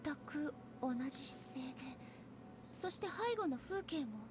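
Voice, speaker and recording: A composed, curious heroine from an anime game, speaks in a very high voice. Her phrasing is formal and measured, with a polite sense of wonder. Music plays clearly underneath. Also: animated. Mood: composed curiosity. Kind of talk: Japanese anime game voice acting.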